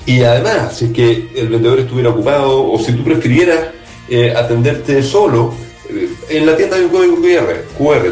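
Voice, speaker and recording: A Chilean startup CEO giving a scripted, persuasive pitch and speaking straight to the listener. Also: male voice, a little mellow, roomy sound, distant mic. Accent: Chilean Spanish.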